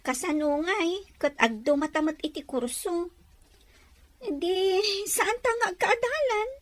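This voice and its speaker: A woman acting a very high, girlish Ilocano companion. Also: measured pace. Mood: friendly. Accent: Ilocano Filipino. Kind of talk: radio drama acting.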